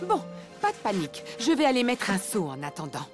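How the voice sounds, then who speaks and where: A caring elephant mom from a children's cartoon, played by a woman. She speaks expressively with lively swings of pitch, soothing and a little worried, over background music. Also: brisk, lightly acted. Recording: music behind the voice.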